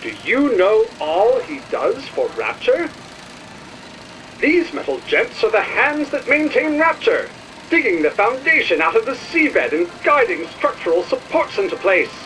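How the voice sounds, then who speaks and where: An actor playing a chirpy retro promotional film announcer with a booming, folksy showman delivery. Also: wildly swooping pitch, a little slow, sharp tone, a little hiss or hum, full character voice, poor audio. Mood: peppy salesmanship. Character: chirpy retro newsreel announcer for an underwater city. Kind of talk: 1950s promotional film narration.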